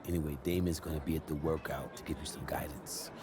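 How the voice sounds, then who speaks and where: Very deep-voiced, brusque American sports agent. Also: male voice, steady tone, quick pace, noisy background. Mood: wry, businesslike. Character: blunt, dry male sports agent. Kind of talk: naturalistic game cutscene acting.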